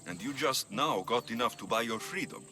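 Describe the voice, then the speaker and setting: An actor playing a principled, stern mercenary hero in a video game, speaking in a firm, measured, dryly wry way. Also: male voice, medium pitch, expressive, close to an everyday voice. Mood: earnest, firm. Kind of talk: video game dialogue acting. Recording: loud music behind the voice.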